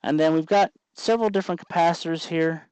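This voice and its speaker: A man hosting an informal electronics tutorial, explaining things off the cuff in a halting way as he thinks aloud. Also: on the high side, a little mellow, clear, resonant tone, no echo, rough recording. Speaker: host of an electronics tutorial show. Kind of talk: unscripted hands-on tutorial narration.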